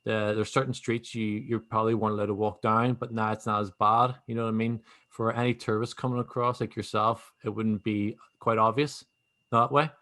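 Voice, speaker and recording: A man's voice: on the low side, little pitch movement, brisk, a bit bright. A young man with a Northern Irish accent, explaining things in a rambling, casual way and leaning on fillers like "obviously" and "kinda". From Northern Ireland. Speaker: guest. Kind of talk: casual conversation.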